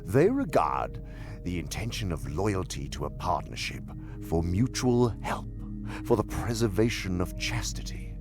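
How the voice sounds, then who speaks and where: An actor playing a senior demon in a radio drama, a sly, imperious mentor who speaks pompously and savors each word with theatrical emphasis in a gravelly voice. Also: male voice, sits low, very animated, unhurried, over music, heavily acted. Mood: sly instructing.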